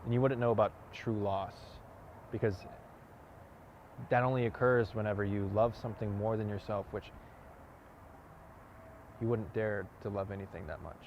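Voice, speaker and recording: A man performing a dramatic monologue as a wounded, earnest man confronting someone, halting and repeating words for emphasis. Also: fairly low pitch, lightly acted. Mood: tender, grieving. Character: wounded, earnest man confronting a cocky youth, grieving lover. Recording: dull lo-fi audio, clear outdoor noise.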